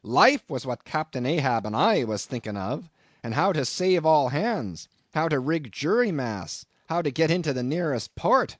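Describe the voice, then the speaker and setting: A man's voice: on the high side, sing-song, normal speed, no echo, studio quality, voice pushed up. A man voicing a practical, defiant old sea captain in an audiobook. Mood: indignant, emphatic. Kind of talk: audiobook character voice.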